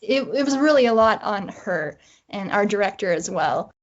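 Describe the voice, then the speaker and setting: A woman's voice: mid-range voice, animated, moderate pace, highs cut off. A woman speaking as a guest writer in a casual interview, thinking aloud in an informal way.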